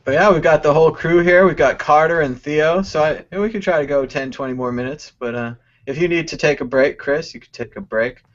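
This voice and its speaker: A man's voice: a little high, brisk, few pauses, dull audio. A man hosting a casual live-stream talk show, joking around and laughing often. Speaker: host.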